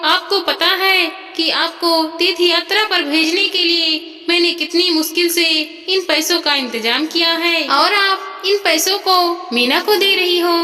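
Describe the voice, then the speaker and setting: Bright high voice, woman reader playing a dutiful grown son, earnest, devoted, moral story, long reverb. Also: unhurried, hardly any pauses, exaggerated voice. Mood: reproachful. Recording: long reverb, music behind.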